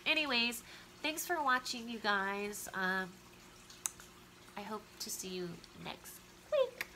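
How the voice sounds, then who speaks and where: A woman talks about a true crime case straight into her camera at home. Her voice is bright and a little stuffy from congestion. A faint hum of the room sits behind her. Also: fairly high pitch, animated, slow pace. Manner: chatty, opinionated, talks straight to viewers. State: stuffy nose. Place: living room at home, indoors. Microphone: camera close in front of her.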